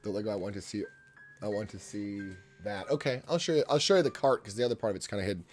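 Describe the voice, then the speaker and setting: A man's voice: lowish voice, quick pace, a little mellow, soft background music. A congested man chatting casually and rambling with his live chat while he paints in his home art studio. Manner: rambling, chatty, many restarts and asides to chat. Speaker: painter and livestreamer.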